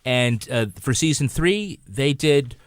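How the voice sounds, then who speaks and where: A man's voice: medium pitch, expressive, slow, clean tone, no room sound, studio quality. A man speaking as a panelist in a casual podcast discussion.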